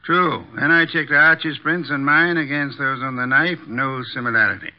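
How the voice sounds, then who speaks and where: An actor playing an imperious, erudite armchair detective with formal, deliberate diction, in 1950s radio drama style. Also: male voice, a little high, lightly acted. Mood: methodical, grave. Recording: dull vintage 1950s radio recording.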